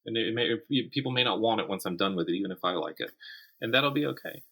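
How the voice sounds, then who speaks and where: A man speaking as a writer guest in a conversational interview, telling things in an animated way and voicing imagined quotes. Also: on the low side, fast talker, gravelly, husky.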